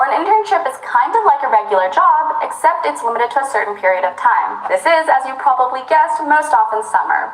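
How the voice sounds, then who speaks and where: A young woman presenting a scripted explainer, upbeat and speaking directly to the viewer. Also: a little high. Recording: roomy echo.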